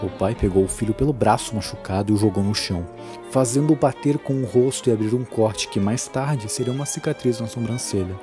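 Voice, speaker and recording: A man's voice: medium pitch, fairly quick, over music. A man giving a solemn, dramatic scripted narration for a horror audio drama.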